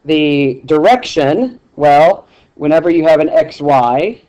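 Relaxed math teacher talking through a problem. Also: male voice, fairly high pitch, slow, lo-fi sound. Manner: relaxed, explanatory, with many ums and restarts. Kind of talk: screencast lesson with handwriting.

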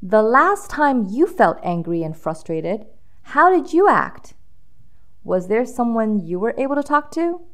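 A woman reads a picture book to young children. Her voice sits fairly high and swings expressively up and down. She takes her time, leaning on key words with dramatic emphasis. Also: sing-song, a little slow. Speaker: children's storybook reader. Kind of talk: expressive read-aloud storytelling with questions to young listeners.

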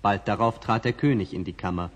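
A man's voice: lowish voice, brisk. Reverberant male fairy-tale narrator. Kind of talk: scripted fairy-tale narration, 1960s audio play. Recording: long reverb on old record.

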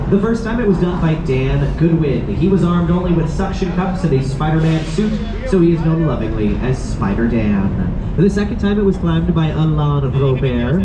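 Wry tour guide man on a boat. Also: a little high. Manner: wry, deadpan jokes with punchy delivery. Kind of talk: scripted joke-filled guided tour commentary. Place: outdoors on an open river boat among tall buildings. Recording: dull lo-fi sound with loud city and boat noise.

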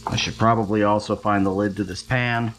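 A man's voice: on the low side, fairly even intonation, fairly quick, noisy background. A man hosting a home cooking show, chatting casually and making wry, self-deprecating asides as he cooks. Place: home kitchen, stovetop.

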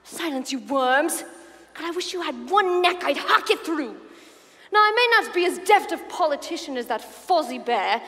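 A woman's voice: high-pitched, lively intonation, moderate pace, a bit bright. A woman playing an arrogant, spiteful teenage schoolboy bully with a pompous, sneering drawl in a comedic stage reading. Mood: sneering, imperious. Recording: reverberant hall, clear background noise.

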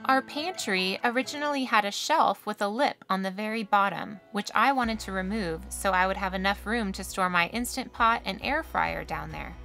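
Bright-voiced woman narrating a DIY project. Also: medium pitch, normal speed, gravelly, few pauses, soft background music. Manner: matter-of-fact step-by-step explaining. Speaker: RV renovation vlogger. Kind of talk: scripted voice-over narration.

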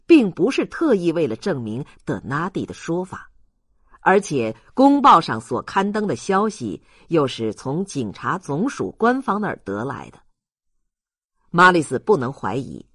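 An audiobook narrator reads a classic novel expressively. The voice is husky, with lively intonation, in a dry recording. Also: medium pitch, dry recording.

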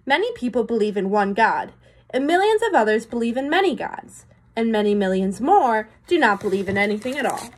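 A woman's voice: a little high, big pitch swings, brisk. A young adult woman reading a picture book to children as a teacher would, with explanatory asides and questions to her listeners. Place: small room indoors.

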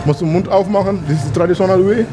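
A man's voice: sits high, very fast, music in the background. A Gambian man with a West African accent in non-native German, chatting in a jokey, boastful, rambling way as he hosts a vlog. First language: not German. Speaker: vlog host, bodybuilder. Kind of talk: casual, rambling vlog chat. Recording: noisy car and street ambience.

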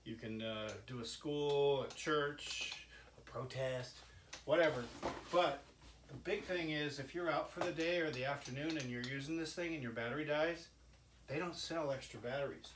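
An older man giving a halting, folksy, informal product demonstration, like an inventor showing off his own gadget. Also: fairly high pitch. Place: indoor cluttered home workshop. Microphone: camera mic a few steps away. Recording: echoey workshop with background noise.

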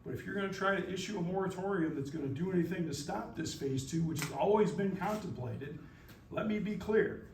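A man giving forceful, combative, legalistic testimony as a company's speaker at a public hearing. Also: sits high, brisk. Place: public meeting room. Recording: roomy, distant mic, faint noise.

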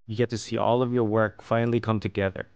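A man narrating a fish-cutting and plating tutorial as host, explaining each step calmly. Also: on the low side, quick pace, clean tone, no room sound, lo-fi sound. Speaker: fishing and cooking channel host. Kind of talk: calm instructional voice-over.

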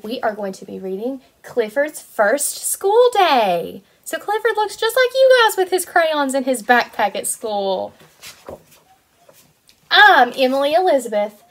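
A woman in her twenties, a kindergarten teacher reading a picture book aloud to young children in an animated, sing-song way. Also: high voice. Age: 20s.

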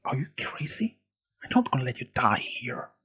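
A man's voice: high-pitched, normal speed, husky, voice pushed up. A man voicing a caring, desperate young man who pleads urgently to protect a wounded friend in a story. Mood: tender, insistent.

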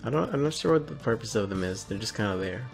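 Young American man, low pitch, casual, joking co-host, read-aloud commentary, faint background music. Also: expressive, fast talker, vocal fry. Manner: joking, laid-back. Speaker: co-host reading out monster encyclopedia entries.

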